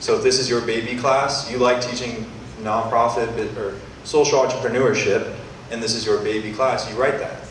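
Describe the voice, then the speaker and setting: A man's voice: medium pitch, fairly even intonation, moderate pace, slight background noise. A young man, a student presenter, answering enthusiastically and rambling with restarts at a startup event. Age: young adult. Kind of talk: pitch Q&A answer. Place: university event hall. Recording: echoey hall, distant mic.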